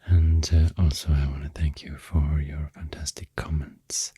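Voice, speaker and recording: A man with a Swedish accent rambles in soft-spoken, near-whispered ASMR, gentle and unhurried, close to the microphone. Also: little pitch movement, no echo. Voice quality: breathy, near-whispered deep voice. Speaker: ASMR video host.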